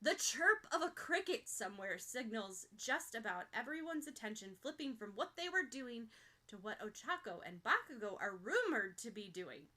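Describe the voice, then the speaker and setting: A woman narrates a fan fiction story with an expressive storytelling manner. Her voice is bright and clear, pitched somewhat high. It is a steady, careful reading. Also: normal speed. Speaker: podfic reader.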